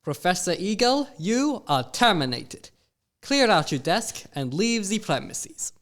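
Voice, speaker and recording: A woman's voice: mid-range voice, sing-song, measured pace. A woman voicing a proper, old-fashioned school founder who talks in a genteel, formal way.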